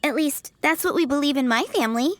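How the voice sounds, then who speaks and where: A woman's voice: high voice, a little fast, close to an everyday voice. A woman voicing a sweet, polite young flower shop girl in a video game, cheerful and chirpy. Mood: cheerfully explaining. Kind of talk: video game character acting.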